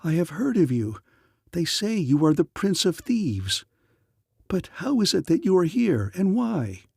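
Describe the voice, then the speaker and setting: A man's voice: high-pitched, very animated, measured pace, vocal fry, a little mellow, slightly rough, no echo, studio quality, voice pushed up, full character voice. A man voicing a weakened, frightened captive woman in a fantasy story, speaking weakly and plaintively. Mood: weak, wondering. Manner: weak, plaintive.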